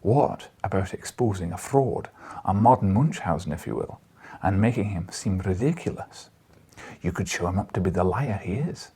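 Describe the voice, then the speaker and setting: An old Scottish newspaper editor played by a male reader, crabbed yet coaxing. His voice is very breathy and husky and swings widely in pitch, with a teasing, deliberate manner suited to a classic adventure audiobook. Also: animated, warm tone, heavily acted. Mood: sly, enthused. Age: older.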